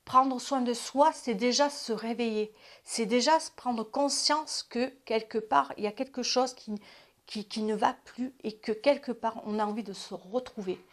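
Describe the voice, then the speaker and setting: A woman's voice: fairly high pitch, moderate pace. Chatty woman in her fifties giving advice. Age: 50s. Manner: chatty, repeats and restarts, drops to asides. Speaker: advice channel host. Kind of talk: casual spoken advice.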